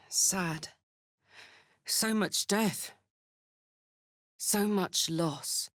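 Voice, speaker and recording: A woman's voice: mid-range voice, extremely slow, fairly bright tone, dry recording. A woman voicing a brash, fiery horned warrior woman in a video game, talking bluntly and informally like a soldier, recorded dry. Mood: somber. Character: brash, fiery female devil-horned warrior with an infernal engine heart. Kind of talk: video game character voice lines.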